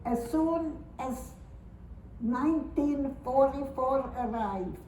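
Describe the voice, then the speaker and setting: A woman in her nineties from Romania, speaking in an earnest, halting and repetitive way as she gives testimony to an audience. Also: high-pitched, mellow, slight background noise. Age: 90s. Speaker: Holocaust survivor giving testimony. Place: synagogue or community hall. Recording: roomy hall recording from far off.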